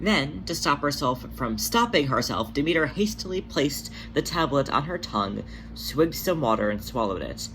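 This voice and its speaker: An amateur narrator reads fiction expressively in a medium-pitched voice that sits between typically male and female. The home recording has clear background noise. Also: lively intonation, moderate pace, hardly any pauses. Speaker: podfic narrator and channel host.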